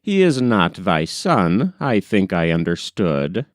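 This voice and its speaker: A man voicing a deliberate, formal, cautious lawyer in an audiobook story, with old-fashioned courteous phrasing. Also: low-pitched, big pitch swings, no room sound, clean studio sound, voice pushed down, full character voice. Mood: pondering. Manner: slow, formal, archaic thee and thy. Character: deliberate, formal Quaker-spoken male lawyer, cautious temper.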